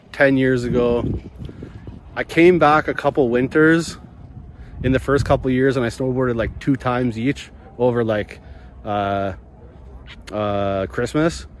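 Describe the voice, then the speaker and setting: A young man chatting casually and slangily outdoors, rambling and musing in a laid-back way. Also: mid-range voice. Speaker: vlogger snowboarding at a ski hill. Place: outdoors on a ski slope and chairlift. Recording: clear outdoor ambient noise on ski hill.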